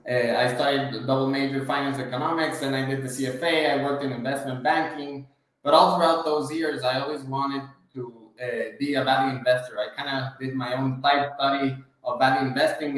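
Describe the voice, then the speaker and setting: A man from Guatemala speaks as an interview guest in a medium-pitched voice. His delivery is conversational and halting, full of hesitations and repeated words. The recording is heavily reverberant, like a bare room. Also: level tone, fairly bright tone. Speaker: interview guest, fund manager.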